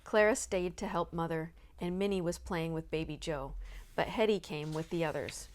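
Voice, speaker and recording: A woman's voice: on the low side, normal speed. A woman narrating a children's novel aloud, acting out the dialogue expressively. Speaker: storybook reader.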